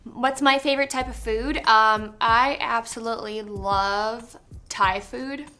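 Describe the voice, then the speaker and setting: A young woman from Canada answering interview questions on set in a chatty, giggly way with lots of pauses and ums. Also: fairly high pitch, fairly even intonation, bright, forceful tone.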